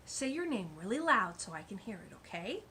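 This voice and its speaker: A woman's voice: mid-range voice, big pitch swings, brisk, sharp tone, slight background noise. A woman hosting a children's storytime, reading a picture book aloud with gushing, exclamatory asides.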